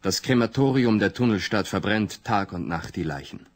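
An actor narrating a radio play as a matter-of-fact chronicler, in a factual report style. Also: male voice, fairly low pitch, animated, normal speed. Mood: sober.

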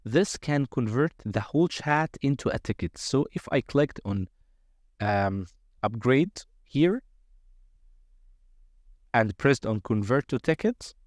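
A man presenting a step-by-step software tutorial in a semi-improvised narration over a screen recording. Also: medium pitch, expressive, slow pace, pauses often, no room sound, clean studio sound.

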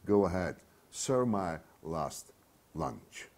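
Guy in his 50s reading his novel out loud, lowish voice, really slow n dramatic, declaims it with pauses. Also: animated, gravelly. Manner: measured, declamatory delivery with deliberate pauses. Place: small bare studio room, seated at a desk.

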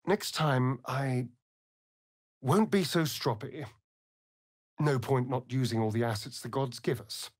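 Casting: flamboyant vampire rogue for a fantasy game. Arch and sardonic, breathy, unhurried drawl. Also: male voice, mid-range voice, a little mellow, no echo, clean studio sound. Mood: subdued. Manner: theatrical, arch, drawling delivery. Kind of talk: video game voice acting.

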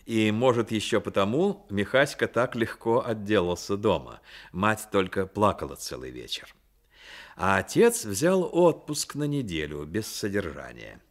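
An actor playing the storyteller of a radio play, narrating in a literary style. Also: male voice, lowish voice, sing-song, measured pace. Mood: reflective. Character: storyteller narrating a boy's life.

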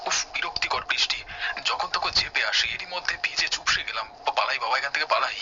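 A man's voice: mid-range voice, animated, quick pace, whispered, natural voice. Man acting a hapless, moaning village farmer. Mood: worried, annoyed. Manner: melodramatic moaning. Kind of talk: cartoon voice acting. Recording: muffled audio with very loud background music.

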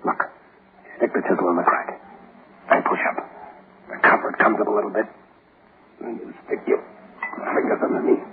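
An actor playing a tough, bossy convict in a 1940s radio drama, gruff, impatient and slangy. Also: male voice, on the low side, steady tone, normal speed, pauses often, natural voice. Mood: urgent, impatient. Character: tough, bossy male convict plotting a prison escape. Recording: noisy, muffled old radio recording.

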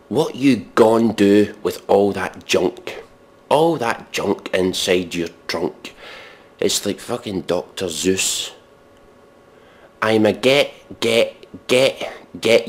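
A man giving mock dramatic readings and sardonic commentary in a deadpan, exasperated, sweary manner. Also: low voice. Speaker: comedic commentator.

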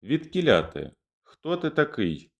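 A man's voice: fairly high pitch, wildly swooping pitch, a little slow, creaky, pitched above the performer's own voice, heavily acted. A man voicing a fierce, commanding male Cossack rebel leader in a declaimed verse reading. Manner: rousing, declamatory.

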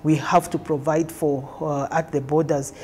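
A woman's voice: sits low, little pitch movement, moderate pace, a little mellow, slight background noise. A middle-aged woman from Zambia, a government trade official, explaining things fluently in an interview. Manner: fluent, explanatory, occasional fillers. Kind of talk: on-camera interview answers. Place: conference room indoors.

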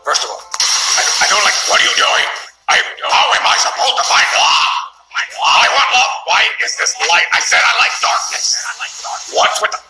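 A man's voice: sits high, huge pitch swings, moderate pace, roomy sound, soft background music, voice pushed up, heavily acted, rough recording. A man voicing a gloomy male bunny-eared puppet creature who whines that he wants love, in a live puppet show. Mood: whiny. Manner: whiny, melodramatic, bursts into shouts.

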